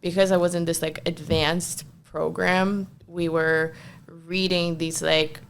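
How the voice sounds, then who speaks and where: A woman in her thirties from Ukraine whose first language is not English, a physician guest chatting in a casual podcast interview, animated and laughing often. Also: lowish voice, takes it slowly, gravelly, slight background noise.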